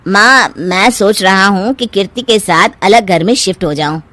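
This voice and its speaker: A woman's voice: mid-range voice, moderate pace, pushed and bright, no echo, close to an everyday voice. A woman voicing a hesitant, henpecked young husband in a cartoon family drama, placating in manner.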